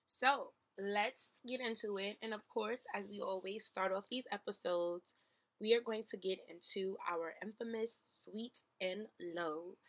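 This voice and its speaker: A woman's voice: mid-range voice, fairly even intonation, measured pace, fairly bright tone. A woman in her twenties co-hosting a podcast, talking in a casual, chatty and playful way with slang. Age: 20s. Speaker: podcast co-host.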